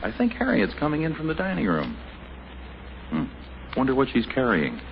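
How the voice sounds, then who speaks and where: An American actor serving as a wry, conversational announcer-narrator in a scripted 1950s radio sitcom. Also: male voice, mid-range voice, wildly swooping pitch, moderate pace, gravelly, a little hiss or hum. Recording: muffled vintage radio recording.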